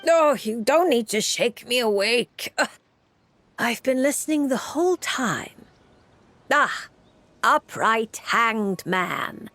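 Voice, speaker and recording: A woman's voice: on the high side, animated, slow, fairly bright tone, exaggerated voice. A woman voicing an aloof, weary witch fortune-teller in a video game, speaking in a dry, formal and cryptic way. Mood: mildly irritated.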